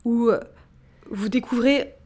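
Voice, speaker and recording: A woman's voice: fairly high pitch, warm tone. Composed woman radio interviewer. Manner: formal, measured, composed questions.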